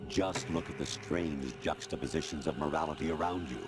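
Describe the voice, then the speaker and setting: A man voicing a formless artificial intelligence in a video game, lecturing in a measured, authoritative, matter-of-fact way. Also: very deep voice, very noisy. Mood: cold, lecturing. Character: formless male artificial intelligence posing as colonel, coldly lecturing. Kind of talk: video game cutscene acting. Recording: loud music behind game dialogue. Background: loud music.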